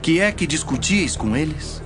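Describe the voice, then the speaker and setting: Guy acting solemn authoritative teacher in a bible audio drama, brazilian accent, measured, music behind. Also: on the high side, expressive, crowd noise, lightly acted. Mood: calm questioning.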